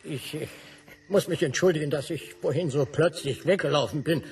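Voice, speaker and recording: An actor playing a pompous, boastful old French gentleman in a radio play, courtly and self-important. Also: a little high, moderate pace, gravelly, recorded from a distance, slight background noise. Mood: pompous, indignant. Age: older.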